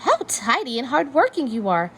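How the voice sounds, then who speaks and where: An earnest and gentle little hermit crab from a children's storybook, as a woman reads it aloud. The voice is high and very swoopy, polite and sweetly emphatic. There is noticeable noise in the recording. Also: sing-song, moderate pace, full character voice. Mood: admiring, hopeful.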